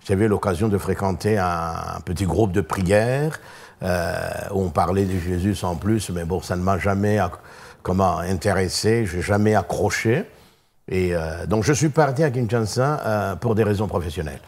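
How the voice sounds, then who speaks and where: A pastor in his sixties, raised in Congo, recounts his past in a television studio. His voice sits very low. He speaks in measured steps, broken by frequent filler pauses. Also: a little mellow. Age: 60s. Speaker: pastor guest. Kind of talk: hesitant, thoughtful recounting.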